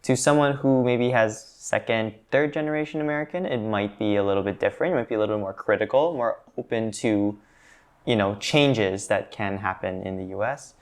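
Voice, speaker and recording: This is a young man speaking in a low voice on a student discussion panel. He is earnest and measured, and hedges his answers with fillers as he reasons them out. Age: young adult. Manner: thoughtful, hedging with fillers. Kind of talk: class discussion.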